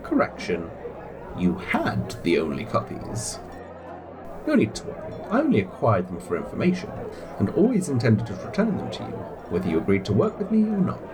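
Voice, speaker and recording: An actor playing a suave, scheming spy recruiter in an audio drama, courteous and theatrical with formal phrasing. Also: male voice, fairly low pitch, wildly swooping pitch, normal speed, over music, a crowd in the background, poor audio. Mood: calm, assured. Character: suave, polite, scheming man recruiting spies.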